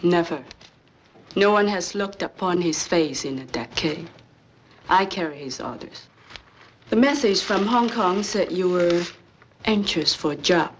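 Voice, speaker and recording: An actress playing a cool, stern go-between for a crime boss in an old film, husky breathy voice and clipped, formal broken English. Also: female voice, on the low side, animated, unhurried, long pauses, muffled recording, slight background noise. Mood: cool, disdainful.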